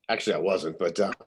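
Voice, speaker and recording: A man's voice: sits low, husky. A man recovering from illness whose voice is partly gone, speaking earnestly on a video call and repeating words. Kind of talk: casual remarks on a video call.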